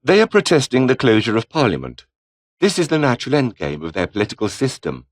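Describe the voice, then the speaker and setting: Haughty British rebel officer, swooping intonation. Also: male voice, medium pitch, huge pitch swings, fast. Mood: disdainful. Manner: pompous, sneering, clipped formal phrasing. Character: haughty, bitter, scheming fallen-aristocrat rebel officer. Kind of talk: video game character dialogue.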